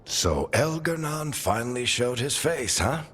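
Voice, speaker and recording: A man playing a worldly, wry, encouraging mentor with a husky, gravelly voice in a video game. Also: medium pitch, big pitch swings, a little slow, a bit bright, faint music behind. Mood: wry, reassuring. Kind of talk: video game cutscene acting.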